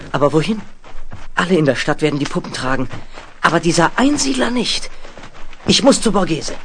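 A man acting a frightened, earnest teenage orphan boy in a 1970s German radio play, husky, urgent and dramatic. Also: sits high, a little hiss or hum. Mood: tense narrating. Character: frightened sixteen-year-old orphan boy, shape-shifting mutant, earnest.

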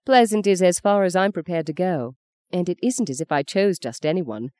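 A woman voicing a sharp-tongued young actress. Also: lowish voice, dry recording, close to an everyday voice. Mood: teasing. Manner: quick, cutting, exasperated.

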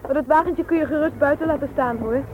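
A woman's voice: sits high, fast, phone-quality sound, slight background noise, natural voice. A woman playing a chatty, curious, slightly vain young friend in a radio play, gushing. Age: young adult. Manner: gushing, inquisitive. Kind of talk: vintage Dutch radio play acting.

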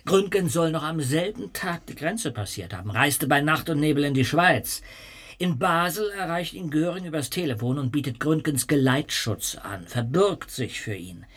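An actor playing a cultivated, eloquent society gentleman in a radio play, telling anecdotes with literary relish. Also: fairly high pitch, lively intonation, moderate pace, breathy edge. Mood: amused, storytelling.

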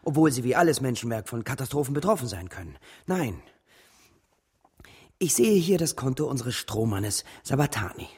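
A principled business partner in a radio drama. His voice is husky and a little high, with very varied melody. He objects earnestly and in measured words. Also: darkish tone. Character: cautious, principled male business partner troubled by speculation. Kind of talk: German radio drama acting.

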